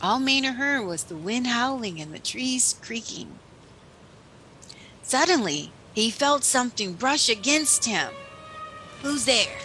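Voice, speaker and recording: A woman narrating a children's story as its host, in an expressive, dramatic scripted style. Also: on the high side, unhurried, soft background music, rough recording.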